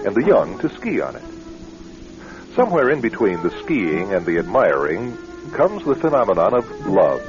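A man hosting a radio drama with ominous, wry scripted narration and deliberate pauses. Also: on the low side. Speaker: radio drama series host. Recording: dull lo-fi radio, music behind. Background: music.

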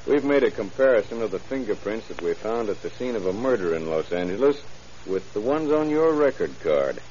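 An actor playing a dry, unhurried, sardonic police lieutenant in an old radio crime drama. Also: male voice, on the low side, animated, darkish tone, lightly acted. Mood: cool. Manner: dry, unhurried, understated questioning. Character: unruffled, dryly sardonic male police lieutenant. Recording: muffled, hissy 1930s radio recording.

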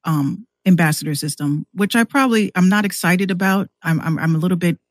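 A woman's voice: on the low side, brisk, dry recording, clean studio sound. A woman who streams and podcasts, chatting casually as a guest on a show.

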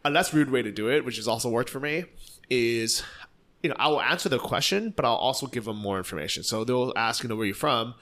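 An American man hosts a casual conversation, talking fast and bluntly. His voice is bright and forceful. He acts out other people's lines with energy as he tells his stories. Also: medium pitch. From United States. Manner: blunt, energetic storytelling with acted-out quotes.

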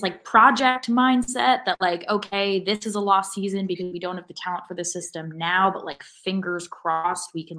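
A woman's voice: fairly low pitch, a bit bright. A woman co-hosting a podcast in casual, conversational sports talk peppered with filler words. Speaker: podcast co-host.

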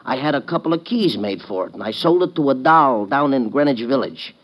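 Man doing a wheedling New junkman, medium pitch, bouncy melody, chummy sales patter, vintage radio comedy-drama style. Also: expressive, brisk, highs cut off, natural voice. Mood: musing, hesitant. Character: haggling, chummy New York male junk dealer. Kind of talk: old-time radio comic character acting.

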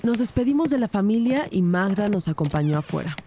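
A woman playing an observant detective who narrates a crime story in a measured, matter-of-fact way. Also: medium pitch, big pitch swings, mellow, a little hiss or hum. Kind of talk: scripted first-person narration of crime story.

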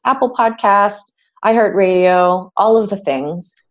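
A woman coach as a podcast guest, speaking in a reflective, warm way about her work. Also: mid-range voice, a little slow. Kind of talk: interview conversation.

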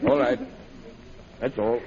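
A brisk, concerned jungle doctor with a gravelly voice that leaps wildly up and down. He barks urgent orders in old radio style, on a muffled recording. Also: mid-range voice, heavily acted. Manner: urgent, animated, exclamatory. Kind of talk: old-time radio adventure acting.

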